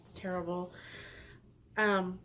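A woman's voice: fairly low pitch, level tone, slow pace, a bit bright. A woman in her forties with a stuffy nose, chatting casually to camera at home about her knitting, rambling with lots of ums and little laughs. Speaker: knitting podcast host. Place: small room indoors at home.

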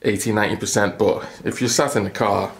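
A man's voice: fairly low pitch, fairly even intonation, brisk, gravelly, slight background noise. A man chatting casually into a handheld camera as he walks through an apartment and out to his car, rambling and self-deprecating. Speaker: travel vlogger. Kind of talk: casual handheld vlog narration. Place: apartment, stairwell and icy outdoor car park. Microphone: handheld camera mic.

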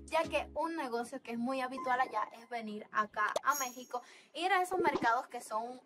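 A woman's voice: high-pitched, normal speed. A young woman from Cuba with a Holguín accent, explaining her experiences point by point to a phone camera in an outdoor park. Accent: Cuban, from Holguín. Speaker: vlogger. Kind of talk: outdoor vlog talking to camera. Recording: clip with loud music behind. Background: loud music.

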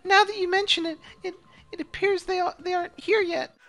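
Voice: very high-pitched, flat delivery, fairly quick, fairly bright tone, voice pushed up. A performer voicing a timid, anxious girl from a video game, meek and stammering. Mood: nervous.

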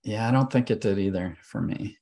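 A man in his forties chatting casually on a group video call, halting as he thinks aloud. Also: lowish voice, mellow. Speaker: panelist. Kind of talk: casual chat.

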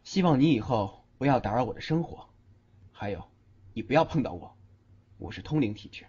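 A man's voice: mid-range voice, expressive, fairly quick, warm tone, slight background noise, close to an everyday voice. A man playing a curt, cool young male student who sees ghosts, in an audio drama. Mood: cold, stern. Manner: curt, cool, threatening when annoyed. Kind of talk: Chinese audio drama acting. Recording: dull lo-fi audio, background music.

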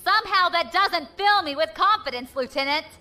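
An actress playing a wry, defiant space pirate leader with a flippant, confident manner in an audio drama. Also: female voice, extremely high pitch, animated, a little fast, sharp tone, heavily acted.

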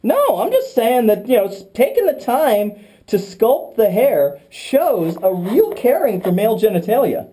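Wry man in a cave, mellow voice. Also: very high voice, sing-song, measured pace. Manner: wry, joking, rambling. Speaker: cave explorer filming. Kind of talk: casual chat while caving. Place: tight underground cave.

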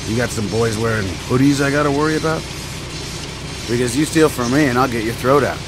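An actor playing a brash middle-aged American drifter in a video game, talking in a taunting, cocky, folksy way. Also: male voice, mid-range voice, brisk, music in the background, lightly acted, low-quality recording. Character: brash, needling redneck drifter in a pickup truck. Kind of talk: naturalistic video game acting.

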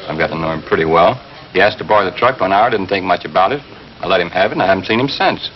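A man's voice: sits low, animated, racing. An actor with an American accent playing an evasive, defensive counterman under police questioning, talking in a colloquial way. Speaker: counterman, suspect. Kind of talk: 1950s TV drama acting. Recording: dull 1950s TV soundtrack, faint hiss.

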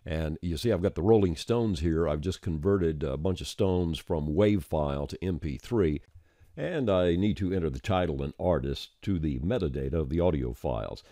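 Very deep-voiced man narrating a tutorial. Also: normal speed, mellow, no room sound, studio quality. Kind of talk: instructional screencast narration.

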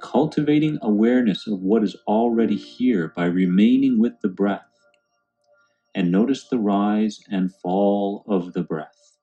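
A man calmly guiding a meditation in a soothing, unhurried way. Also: fairly low pitch, lo-fi sound. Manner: calm, soothing, unhurried instruction. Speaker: meditation guide. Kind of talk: guided meditation narration. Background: background music.

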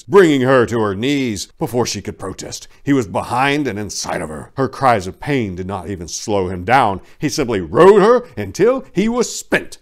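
Theatrical man with dramatically swooping delivery. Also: on the low side. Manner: theatrical, emphatic, mock-serious with big swells and hushed drops. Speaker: reader. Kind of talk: dramatic reading of a parody romance story. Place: small indoor room lined with bookshelves. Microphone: lapel microphone.

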